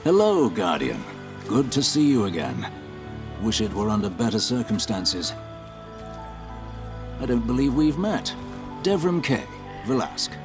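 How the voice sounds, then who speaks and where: An actor playing a seasoned British military scout in a game cutscene, polite, dry and understated. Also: male voice, mid-range voice, very animated, measured pace, pauses often. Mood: cordial. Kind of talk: video game cutscene dialogue, mission briefing. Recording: music playing behind the voice.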